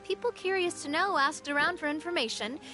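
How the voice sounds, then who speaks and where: A woman's voice: high-pitched, a little fast, bright, forceful tone, faint music behind. An actress playing a confident, breezy young woman who leads an organization in a video game, sounding self-assured. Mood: confident, knowing.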